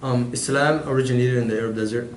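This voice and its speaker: A man delivers a prepared class presentation, hesitating often and filling gaps with "um." His delivery is flat, with little rise or fall in pitch. The room is echoey and has a faint hiss of background noise. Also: quick pace. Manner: hesitant, many "um" fillers. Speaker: student presenter.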